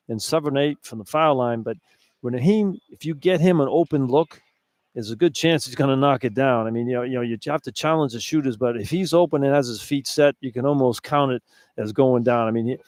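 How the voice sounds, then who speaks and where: A man works as a color analyst on a sports radio broadcast. He talks conversationally in a mid-range voice, circling back over points to stress them, with a dry, echo-free sound. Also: mid-range voice.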